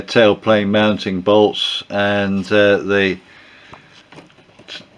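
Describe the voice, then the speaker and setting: Older guy w a croaky cold voice, low-ish, slow and hesitant, talking thru his build in the workshop. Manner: relaxed, hesitant with many fillers. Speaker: aircraft builder. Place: home workshop indoors.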